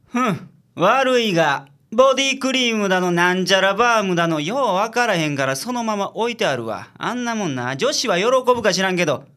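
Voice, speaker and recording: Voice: medium pitch, animated, fairly quick, a bit bright, close to an everyday voice. An actor playing a grumpy, sardonic private detective with a Kansai accent in a Japanese radio comedy. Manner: gruff, dismissive, sarcastic. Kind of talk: Japanese comic radio drama acting.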